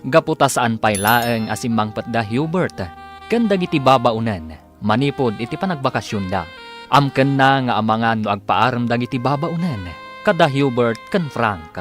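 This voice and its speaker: A man narrates a radio drama with a Filipino accent over a music bed. His medium-pitched voice rises and falls widely in dramatic, scripted storytelling. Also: fairly bright tone. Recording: music under the voice.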